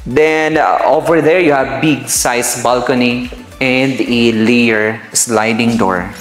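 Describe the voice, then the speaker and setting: A man's voice: medium pitch, steady tone, slow. A man with a Filipino accent hosting a casual property tour, chatting informally to viewers as he shows the rooms. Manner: informal, mixing Tagalog and English, addressing viewers as guys. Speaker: property tour host, real estate seller. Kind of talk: casual house tour vlog narration. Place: indoors in an empty new house. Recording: echoey bare rooms, music underneath. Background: background music.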